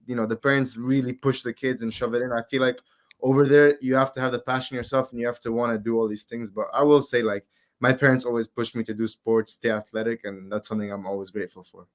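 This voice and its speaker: A young man in his twenties from Qatar chats as a podcast guest. He talks in a relaxed, loose way, filling gaps with ums and likes. His pitch sits in an ordinary middle range for a man. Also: fast talker. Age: 20s. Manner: relaxed, rambling, many fillers. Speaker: guest, amateur MMA fighter. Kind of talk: casual interview chat.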